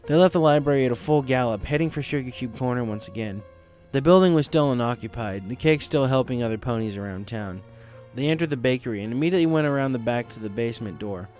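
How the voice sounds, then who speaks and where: A man's voice: medium pitch, fast talker, hardly any pauses, darkish tone. Male story narrator over music. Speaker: audiobook narrator. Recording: music behind the voice.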